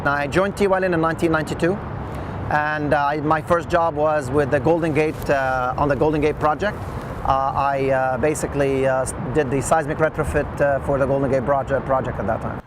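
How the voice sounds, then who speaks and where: A man's voice: fairly high pitch, steady tone. A middle-aged man recounts his work in an unscripted interview, hesitating often as he goes. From Lebanon. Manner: halting, with frequent long hesitations. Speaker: engineer interviewee. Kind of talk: oral history interview, unscripted recollection. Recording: loud outdoor traffic noise.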